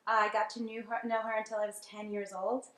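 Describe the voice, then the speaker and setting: A woman's voice: medium pitch, level tone, fairly quick, fairly bright tone. New York woman, casual sharing, hesitant, laughing, roomy echo. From Staten Island, New York. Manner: laughing, hesitant, many ums. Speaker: workshop participant. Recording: echoey room.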